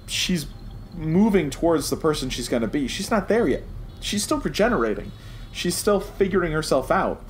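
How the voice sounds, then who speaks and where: A man's voice: a little high, big pitch swings, normal speed, a little hiss or hum. A man co-hosting a review podcast in casual chat, explaining his points emphatically and repeating phrases to stress them. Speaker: podcast co-host reviewing a TV series.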